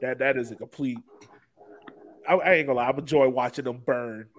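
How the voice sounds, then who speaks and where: A man's voice: mid-range voice, steady tone, fairly quick, slight background noise. A man hosting a casual sports talk show, chatting in an informal, joking way. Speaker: podcast host.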